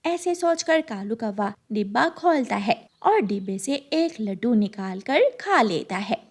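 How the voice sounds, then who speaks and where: A woman's voice: fairly high pitch, big pitch swings, measured pace. A woman narrating a scripted children's cartoon story as its storyteller.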